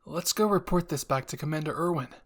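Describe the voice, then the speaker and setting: A man's voice: a little high, fairly quick, a bit bright. A man voicing a young male soldier who is earnest, alert and kind, with an inquisitive manner, in an anime fan roleplay. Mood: resolute.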